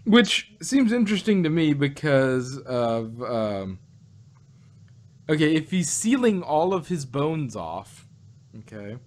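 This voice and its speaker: A man with a very animated, swinging pitch talks casually as a podcast co-host. He rambles through his points, doubling back and stammering over words as he goes. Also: slow. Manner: rambling, stammering, repeats himself. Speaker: podcast co-host reviewing audio drama. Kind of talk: casual chat.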